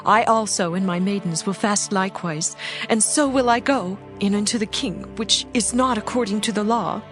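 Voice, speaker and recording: A woman's voice: medium pitch, moderate pace, a bit bright, faint music behind, natural voice. An actress playing a brave young queen who speaks earnestly and resolutely.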